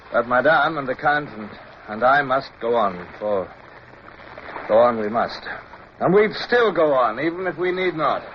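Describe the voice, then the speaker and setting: An actor playing a resolute naval officer in a radio drama, commanding yet tender, crisp and formal as he tells of his war. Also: fairly high pitch. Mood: rousing. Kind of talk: radio drama acting and first-person narration. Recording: muffled, noisy old radio recording.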